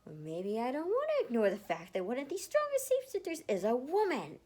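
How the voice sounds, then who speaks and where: A woman voicing a feisty, blunt young female shapeshifter with a scolding, forceful delivery in a story reading. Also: high voice, huge pitch swings, a little fast, voice pushed up, exaggerated voice. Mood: indignant.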